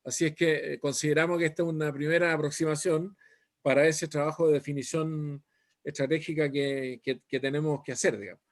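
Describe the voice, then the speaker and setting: A man's voice: fairly high pitch, steady tone, a little fast. A Chilean man moderating a panel discussion, hesitant and full of fillers. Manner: hesitant, many fillers and "digamos".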